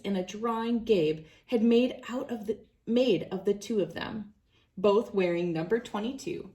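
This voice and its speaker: A woman's voice: mid-range voice, dark tone. A woman reading a children's storybook aloud as narrator. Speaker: children's book reader.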